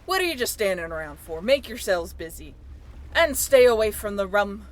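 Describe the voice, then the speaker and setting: Woman putting on a swaggering, low-voiced pirate deck boss. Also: very animated, normal speed, close to an everyday voice. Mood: barking orders. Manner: swaggering, barking orders to the crew. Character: brash, commanding pirate deck boss of a sailing ship. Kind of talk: pirate roleplay audio drama. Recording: clear background noise.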